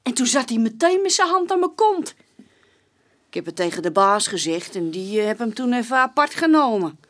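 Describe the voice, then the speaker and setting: Plain-spoken young barmaid. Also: female voice, on the high side, sing-song, normal speed, a bit bright. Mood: disgusted. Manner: informal, chatty, colloquial. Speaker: witness, café barmaid. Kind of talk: naturalistic radio play dialogue.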